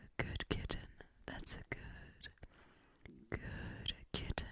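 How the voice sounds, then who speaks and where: A woman playing a doting vampire mistress, whispery and very slow. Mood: soothing. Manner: soft and soothing, drifting into whispers and shushing. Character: gentle female vampire mistress, doting and possessive. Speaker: ASMR performer. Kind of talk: ASMR roleplay.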